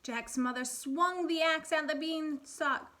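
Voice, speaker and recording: A woman with a fairly high, very bright voice speaks as a primary school teacher. She is expressive and instructive, guiding young listeners with questions. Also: takes it slowly. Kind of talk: read-aloud and lesson for young children.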